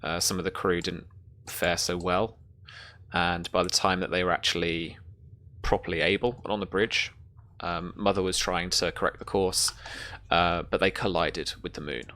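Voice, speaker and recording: A woman narrating a tabletop sci-fi horror game as game master, halting as if searching through notes. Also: rumbling low, steady tone, fairly bright tone, a little hiss or hum.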